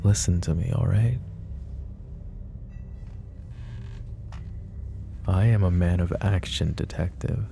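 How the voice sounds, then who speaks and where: A man playing a protective, possessive mafia boss in an intimate roleplay ASMR, speaking deliberately and broodingly straight to the listener. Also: sits low, creaky, dark tone, slight background noise. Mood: firm, resolute.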